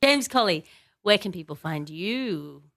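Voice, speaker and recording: A woman's voice: fairly low pitch, animated, unhurried, fairly bright tone. Wry woman hosting a comedy podcast. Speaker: podcast host.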